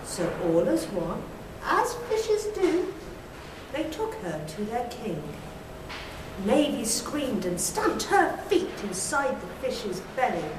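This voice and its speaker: A woman's voice: on the high side, very animated, slow pace. Prim, theatrical woman storyteller, echoey room. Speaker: children's storyteller and presenter. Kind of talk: 1940s children's television storytelling, rhymed narration. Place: small bare brick-walled room indoors. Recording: echoey room, distant mic, noisy.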